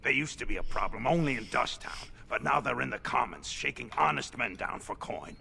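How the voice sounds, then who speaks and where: An actor playing an anxious, pleading old shopkeeper in a fantasy video game, given to stammering and indignation. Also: male voice, medium pitch, lively intonation, fairly quick, gravelly, sharp tone, a little hiss or hum, full character voice. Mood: bitter indignation. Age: older. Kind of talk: video game character acting.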